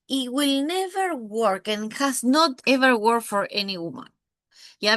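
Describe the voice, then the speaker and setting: A woman's voice: a little high, a bit bright, dry recording. A woman from Argentina with an Argentine Spanish accent, a brand and visibility mentor giving a live masterclass. She talks effusively, swears casually and keeps checking in with tag questions. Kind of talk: live masterclass talk to camera.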